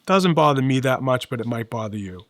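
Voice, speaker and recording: A middle-aged man hosting a casual, conversational product review, explaining things in a measured way and speaking to viewers. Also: mid-range voice, lively intonation. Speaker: gadget reviewer and host.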